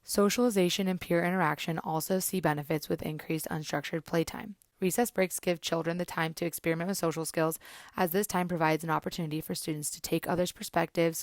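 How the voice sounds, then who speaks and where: A woman narrating a scripted student report in a measured, informative way. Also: low-pitched, fairly even intonation, no room sound, clean studio sound.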